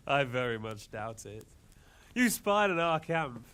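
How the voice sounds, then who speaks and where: Smug, theatrical villain, swooping pitch. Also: male voice, big pitch swings, pushed and bright, clean tone. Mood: gloating, menacing. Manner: theatrical, mock-polite, menacing, deliberate. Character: smug, cruel male warlord taunting his captive. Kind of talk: dramatic video game cutscene acting.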